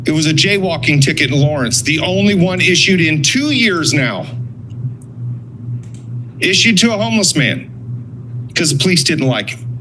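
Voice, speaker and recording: A man's voice: fairly high pitch, lively intonation, moderate pace, long pauses, bright, forceful tone, a little hiss or hum, low-quality recording. A blunt, sarcastic man giving confrontational public comment at a meeting, swearing and accusing.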